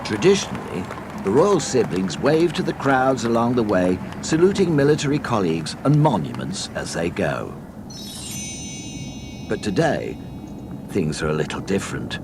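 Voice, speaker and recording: Low-voiced man narrating a read-along storybook. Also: sing-song, normal speed, noisy background.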